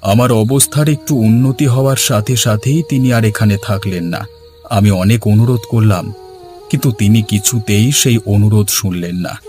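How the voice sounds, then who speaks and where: A man playing an earnest, gentle village father and husband in a cartoon, speaking in dramatic storytelling fashion. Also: fairly low pitch, brisk, natural voice. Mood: earnest, recalling. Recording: music behind the voice.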